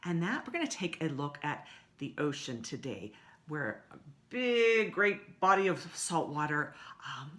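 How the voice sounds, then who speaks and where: Lady doing kids story time, slow n kinda low voice, simple encouraging talk like a children's librarian. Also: big pitch swings, fairly bright tone. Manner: simple, encouraging address to small children with repetition. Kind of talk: children's story time presentation with songs and rhymes.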